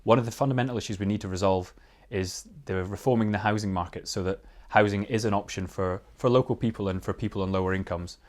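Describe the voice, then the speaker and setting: A man's voice: on the low side, steady tone, quick pace, hardly any pauses. A man giving an earnest political answer as a candidate, sometimes stumbling and restarting. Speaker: parliamentary candidate, NHS worker. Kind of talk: hustings answer, political speech.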